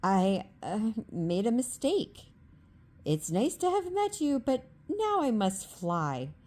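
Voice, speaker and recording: A woman voicing a mean male dragon in a storybook reading, low and slow with a menacing edge. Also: huge pitch swings, mellow, full character voice. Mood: nervous. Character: mean hungry male dragon, boastful then cowardly. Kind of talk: storybook read-aloud.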